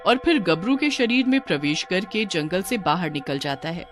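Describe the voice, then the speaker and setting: A woman's voice: on the low side, lively intonation, moderate pace, faint music behind. A woman giving scripted narration of a village horror tale as storyteller.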